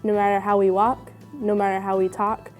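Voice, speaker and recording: A woman's voice: medium pitch, flat delivery, normal speed, faint music behind. A young woman from Maine, sincerely describing her volunteer role. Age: young adult. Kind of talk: testimonial interview.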